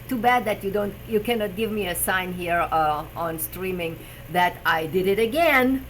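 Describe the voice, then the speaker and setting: A woman's voice: medium pitch, measured pace, fairly bright tone, slight background noise, poor audio. A woman with a German accent, hosting a live stream as a personal trainer, chatting about health with frequent fillers while searching for English words. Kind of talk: casual live stream talk.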